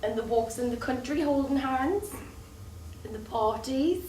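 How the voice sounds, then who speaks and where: A woman's voice: high-pitched, a little slow, darkish tone. A woman acting a wounded, sardonic long-time lover in an incredulous, biting stage-play style. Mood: wounded, bitter. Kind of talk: theatrical stage play dialogue. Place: theatre stage, recorded from afar. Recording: distant, echoey, noisy stage recording.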